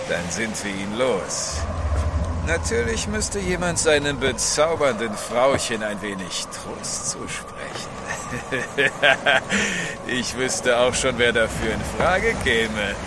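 An actor playing a coarse, menacing sailor bully in a German audio drama, drawling and taunting. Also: male voice, medium pitch, big pitch swings, slow pace, background noise. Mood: gloating, leering. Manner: drawling, taunting, with leering chuckles.

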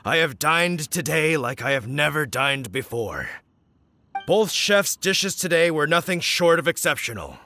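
A hearty village hunter from a video game, with a bright, forceful voice. He speaks slowly and dramatically, with grand swings of intonation. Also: a little high, very animated, slight background noise, heavily acted. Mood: grand, solemn. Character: hearty village hunter acting as solemn contest judge. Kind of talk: video game character acting.

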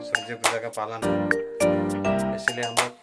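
Young man vlogging flatly, loud music behind. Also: mid-range voice, normal speed. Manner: flat, plain narration addressed to viewers. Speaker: vlogger, hire-car driver. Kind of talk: casual vlog chat. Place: outdoors in a village, then inside a car. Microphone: phone at arm's length.

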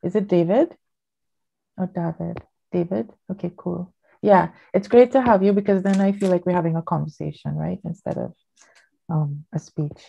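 A woman's voice: lowish voice, moderate pace, gravelly, dark tone, breathy edge. A woman from Trinidad, older, giving a rambling, chatty workshop talk with many fillers over a home video call. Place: living room at home. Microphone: laptop webcam on a video call. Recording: dull lo-fi video call audio.

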